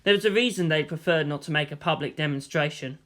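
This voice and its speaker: A woman reading fan fiction aloud as narrator, steady and expressive. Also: deep voice, quick pace.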